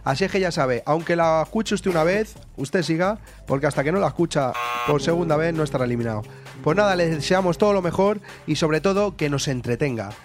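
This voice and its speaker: Male radio host, comic talent-show judge, slightly high voice, faint background music. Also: lively intonation, brisk. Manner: playful presenter, clowning. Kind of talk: comedy radio sketch.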